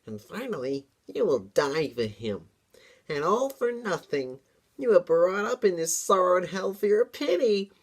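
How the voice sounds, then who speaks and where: A man voicing a huge, menacing demon who prophesies doom with slow, theatrical delivery in a fantasy story reading. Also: high voice, very animated, breathy edge, voice pushed up, full character voice. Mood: gloating, ominous.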